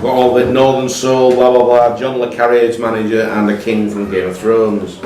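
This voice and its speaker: Echoey male quizmaster, mid-pitched, some distance off. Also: little pitch movement, moderate pace, darkish tone, slight background noise. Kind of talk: live pub quiz hosting, reading out questions and judging answers. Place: pub room. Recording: echoey pub room, distant mic, music behind.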